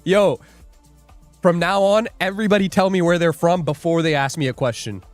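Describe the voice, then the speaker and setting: A man's voice: on the high side, normal speed, no echo. Joking young man streaming live, music behind. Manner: joking, rambling, laughs often, reads chat aloud. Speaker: livestream day trader host. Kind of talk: casual livestream chat.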